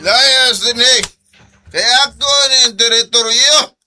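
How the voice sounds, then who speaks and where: An actor playing a drunken, jealous, abusive husband in a radio drama, slurring with a Visayan Filipino accent. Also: male voice, extremely high pitch, takes it slowly, over music. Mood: drunken, angry.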